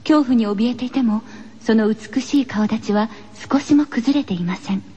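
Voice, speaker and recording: Woman acting high-voiced schoolteacher in radio horror. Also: moderate pace, warm tone, dull audio, a little hiss or hum, close to an everyday voice. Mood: hushed, eerie narration. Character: female schoolteacher, firm but uneasy, narrating a horror tale.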